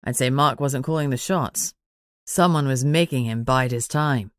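A woman voicing a calm, teasing man in an audiobook, measured and matter-of-fact. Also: extremely low pitch, no room sound, studio quality, voice pushed down. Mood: measured, thoughtful. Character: calm teasing man, werewolf packmate and investigator.

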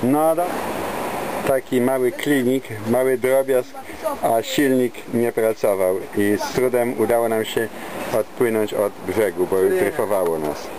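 A man's voice: medium pitch, unhurried. An older man from Poland casually narrating his sailing trip outdoors on a catamaran, filmed on a handheld camera. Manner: chatty, commenting on what he films. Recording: loud boat and water noise.

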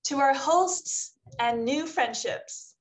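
A woman's voice: high-pitched, takes it slowly. A woman playing a blunt, independent friend in a sitcom table read. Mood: cheerful. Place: indoor room, on a video call. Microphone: video call microphone.

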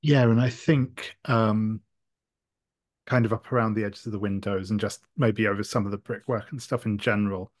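A husky-voiced man improvising a scene as a game player, searching for words. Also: lowish voice, fairly even intonation, normal speed, no echo, clean studio sound. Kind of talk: casual improvised scene description.

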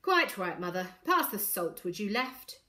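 A woman storyteller acts out a middle-aged male politician, a father with little patience. He is pompous and curt, his pitch moving freely as he orders people about in a storybook. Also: sing-song, measured pace, pushed and bright, reverberant. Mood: brisk, resigned. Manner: curt, irritable, self-important.

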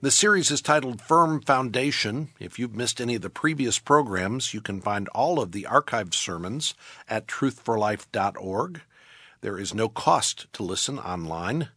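A man announcing a radio ministry program, reading a scripted, measured script. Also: lowish voice, lively intonation, bright, forceful tone, slightly rough, no room sound, clean studio sound.

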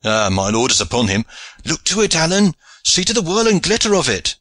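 A man voicing a big, hearty, excitable medieval English soldier in an audiobook, with exclamatory archaic speech. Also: fairly low pitch, moderate pace, husky, no room sound, clean studio sound, full character voice. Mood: excited, exultant. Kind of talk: audiobook character voice.

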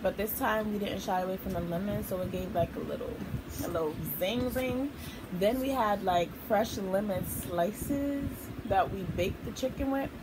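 A young woman chatting casually to camera as a vlog host, slangy and lively, while eating at a table in her living room. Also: medium pitch, moderate pace. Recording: noisy, somewhat distant phone audio.

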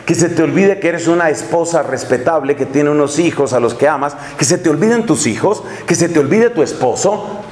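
A middle-aged friar preaches with a fairly high voice, drawing out the phrases he wants to stress. He is heard in a large, reverberant tiled hall, recorded from a camera some distance away. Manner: expressive, gesturing, slows to stress key phrases. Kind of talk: lecture-style sermon. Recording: echoey hall.

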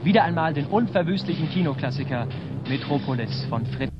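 An actor playing a jokey, chummy late-night programme announcer in a German radio drama. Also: male voice, a little high, expressive, fairly quick, lightly acted. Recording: muffled broadcast sound over loud music.

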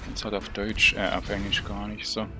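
A man's voice: lowish voice, little pitch movement, moderate pace. A young man with a Swiss German accent, chatting casually with hesitant fillers while he plays a game and talks to his viewers. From Switzerland. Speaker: gaming video creator. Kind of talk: casual gameplay commentary. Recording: game music under voice.

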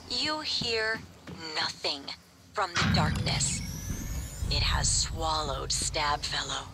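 A woman playing a spirited young game master who narrates dark fantasy in a theatrical, sometimes hushed way, in a video game audio log. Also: low voice, animated, slow, very noisy, full character voice. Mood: ominous, suspenseful.

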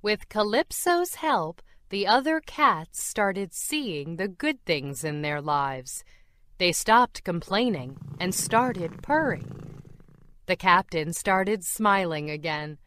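A woman's voice: medium pitch, expressive, slow pace, fairly bright tone. A woman narrating a children's storybook as a scripted read-aloud.

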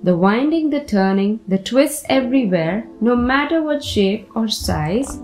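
A woman reading a children's picture book aloud with deliberate, expressive phrasing. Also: a little high. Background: music behind the voice.

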